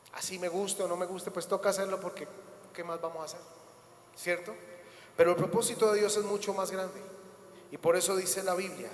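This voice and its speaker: A man preaching with a high, ringing voice that keeps surging up in emphatic repeated phrases. His sermon rolls through a church hall with a long echo and a faint room hum behind it. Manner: emphatic preaching with repetitions and rising bursts. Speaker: pastor.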